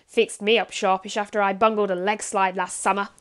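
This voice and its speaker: A woman playing a breezy, chummy gentleman cricketer in a 1930s radio drama. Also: medium pitch, flat delivery, fairly quick, clear, resonant tone, lightly acted.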